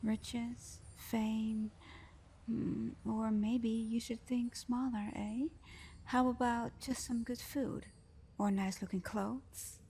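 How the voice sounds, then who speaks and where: Woman acting a slow, sly, flirty genie. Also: fairly high pitch, flat delivery, mellow, full character voice. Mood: playful. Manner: teasing, seductive, slow and playful, often dropping to whispers. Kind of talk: ASMR roleplay addressed to the listener. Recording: clear background noise.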